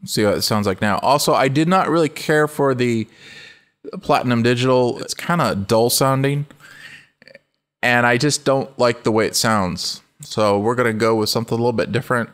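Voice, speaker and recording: A middle-aged man with a low voice hosting a casual music-production walkthrough, relaxed and rambling, often correcting himself mid-sentence. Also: normal speed, smooth. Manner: relaxed, rambling, self-correcting, laughs easily. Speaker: music production tutorial host. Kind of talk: casual screen-recorded walkthrough.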